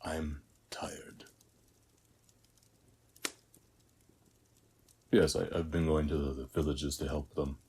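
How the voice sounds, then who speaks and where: A man playing a weary, battle-hardened warrior of Ares in a scripted audio roleplay, speaking in measured, reflective short phrases. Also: steady tone, dark tone, a little hiss or hum. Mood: tired, gentle. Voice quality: very deep, husky, breathy.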